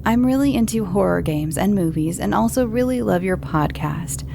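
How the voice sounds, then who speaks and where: A woman podcast host reading listener horror stories aloud in a calm, unhurried storytelling manner. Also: medium pitch, gravelly, noisy background.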